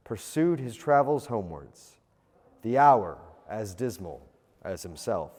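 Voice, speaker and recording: A man reading a classic tale as a storyteller, with a theatrical, expressive, old-fashioned literary delivery. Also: medium pitch. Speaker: storyteller, audiobook reader. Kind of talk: dramatic scripted narration of a classic ghost tale. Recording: long reverberant hall echo.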